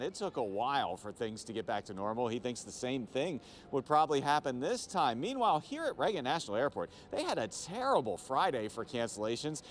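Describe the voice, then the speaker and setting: An American man giving a live television news report as a field reporter. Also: medium pitch. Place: airport. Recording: background noise from airport.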